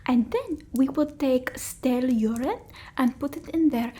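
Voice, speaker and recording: A woman voicing a haughty centuries-old female vampire, breathy and often whispering, in an ASMR roleplay. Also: high voice, expressive, measured pace, dark tone. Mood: nostalgic, indignant. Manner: broken old-world English, dramatic and haughty. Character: theatrical female vampire, centuries old, bored, demanding, scornful of humans.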